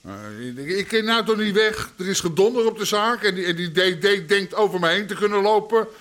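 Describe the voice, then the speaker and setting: A gruff underworld boss and husband in a radio drama. He blusters with exasperation in a fairly high-pitched man's voice. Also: animated, brisk, fairly bright tone. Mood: exasperated. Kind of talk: Dutch radio drama acting.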